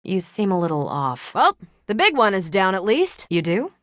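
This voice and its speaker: An actress playing a confident, wry superhero and ex-military pilot in a video game, speaking with dry, casual self-assurance. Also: female voice, mid-range voice, sing-song, normal speed, fairly bright tone, dry recording. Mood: candid, steady. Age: adult. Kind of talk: video game voice acting, conversational dialogue.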